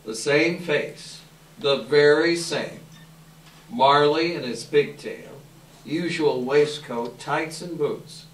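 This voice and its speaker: A man narrating a classic story at a live reading, with measured, theatrical and emphatic phrasing. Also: on the high side. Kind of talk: dramatic scripted narration, live readers theater. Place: indoor live event room. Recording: echoey room, distant mic, faint noise.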